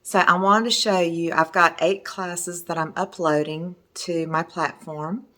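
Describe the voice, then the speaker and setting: A middle-aged woman with a hoarse voice, chatting casually as a resin art teacher while showing her work at home. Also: fairly low pitch, fairly even intonation, unhurried, pushed and bright. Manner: chatty, enthusiastic, meandering. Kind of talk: casual video talk showing artwork.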